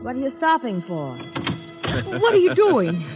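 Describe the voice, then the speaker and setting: A terrified lady begging and screaming, super high, 1940s radio thriller. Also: huge pitch swings, unhurried, lo-fi sound, music in the background, exaggerated voice. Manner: shrill, frantic, screaming. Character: terrified female victim pleading for her life. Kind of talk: 1940s radio melodrama acting. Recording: old radio broadcast recording.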